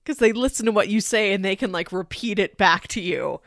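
A woman's voice: medium pitch, a little fast, dry recording, studio quality. A woman co-hosting a podcast, talking casually about films with a conversational, playful storytelling manner. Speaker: podcast co-host. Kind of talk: casual film discussion.

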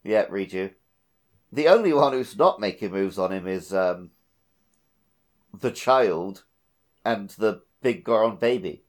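A man's voice: lowish voice, animated, takes it slowly, pauses often. A man co-hosting a podcast, talking casually about a video game. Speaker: podcast co-host.

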